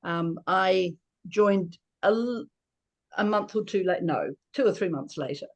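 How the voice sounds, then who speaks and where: An older woman gives an informal presentation over a video call, halting and often correcting herself. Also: fairly low pitch, animated, gravelly. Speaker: co-housing resident presenting.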